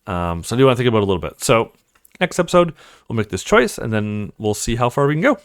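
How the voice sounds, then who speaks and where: Animated male let's player, close and dry. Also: medium pitch, quick pace, no echo. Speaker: let's play host. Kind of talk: visual novel let's play, reading dialogue aloud and commenting.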